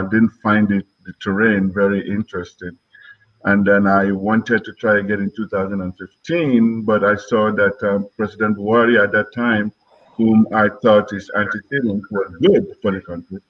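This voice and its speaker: A man in his sixties with a Nigerian accent, from Lagos, giving measured answers as an interview guest on a muffled recording. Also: fairly low pitch. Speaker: interview guest, politician and broadcaster.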